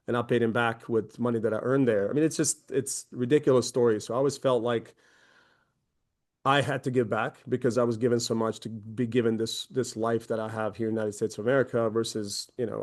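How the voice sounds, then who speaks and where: A man's voice: lowish voice, little pitch movement, fast, a little mellow. A man in his forties from Croatia, a company founder giving a conversational presentation with many fillers and restarts. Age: 40s. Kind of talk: webinar presentation.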